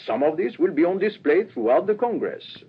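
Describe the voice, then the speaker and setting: A man acting in a radio drama as a courteous, astute middle-aged police inspector, speaking in a measured, formal, ruminative way. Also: sits high, very animated, creaky, husky, full character voice. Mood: explaining, assured. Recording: muffled, lo-fi radio recording, faint noise.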